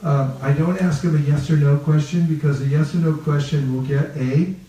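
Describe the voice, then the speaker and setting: A man's voice: fairly high pitch, steady tone, normal speed, a crowd in the background. A man from California leading an interactive seminar, playfully questioning the group and repeating key phrases. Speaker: religious teacher leading a training talk. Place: reverberant room with a live group. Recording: echoey room, picked up from a distance.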